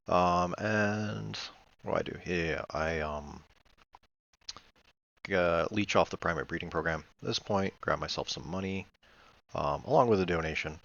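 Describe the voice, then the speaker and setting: A man's voice: sits low, vocal fry, a bit bright, no echo, muffled recording. A man giving casual commentary on a board game replay, thinking aloud in a hesitant, matter-of-fact way. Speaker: board game player reviewing own replay.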